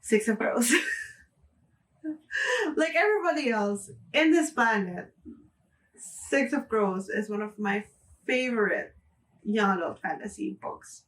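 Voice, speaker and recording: A woman's voice: medium pitch, lively intonation, takes it slowly, long pauses, recorded from a distance, rough recording. A young woman from the Philippines with a Filipino accent, chatting casually to camera and often saying "like". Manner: chatty, frequent "like" fillers, light laughs. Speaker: booktuber.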